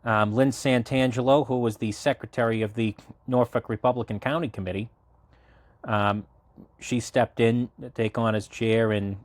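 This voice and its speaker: A young man in his late twenties, from Massachusetts, chatting as a guest on a podcast. He rambles along with plenty of "um"s and "you know"s and restarts mid-sentence. His voice sits at a middle pitch and sounds close and dry, with no room around it. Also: steady tone, normal speed, no echo. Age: 20s. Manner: talkative, rambling, frequent fillers and restarts. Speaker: podcast guest, local party committee chair. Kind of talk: casual interview conversation.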